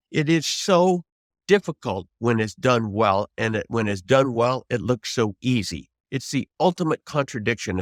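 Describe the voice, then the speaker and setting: A man's voice: medium pitch, no room sound, studio quality. A man in his seventies, a veteran trial lawyer, giving unscripted advice in an emphatic, reflective way and restating points for stress. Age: 70s.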